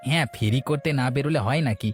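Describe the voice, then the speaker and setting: An actor voicing a meek, hard-up peddler husband in a cartoon, placating and eager to please. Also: male voice, medium pitch, fast, soft background music, lightly acted. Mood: resigned. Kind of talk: Bengali cartoon voice acting.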